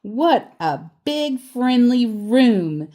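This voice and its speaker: A woman storyteller reading a children's book aloud with expressive, deliberate, emphatic phrasing and casual asides. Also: a little high.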